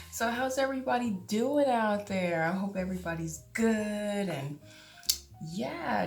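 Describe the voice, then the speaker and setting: Woman, late 40s, vlogger; lowish voice, chatty with frequent laughs; echoey room, guitar music underneath. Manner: chatty, laughs often, drawn-out exclamations. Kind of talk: casual talk to camera.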